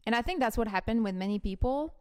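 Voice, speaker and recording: A woman's voice: on the high side, animated, fast, clear, resonant tone, no room sound, studio quality. A woman from Quebec with a Québécois French accent, whose first language is French, hosting a podcast interview in a chatty, reflective way. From Quebec, Canada. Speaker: podcast host.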